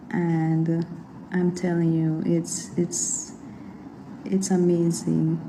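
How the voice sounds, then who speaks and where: Slow, mellow woman. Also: low-pitched, slight background noise, low-quality recording. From Guyana. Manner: slow, unhurried, with "um" pauses. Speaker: vlogger. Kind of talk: casual chat.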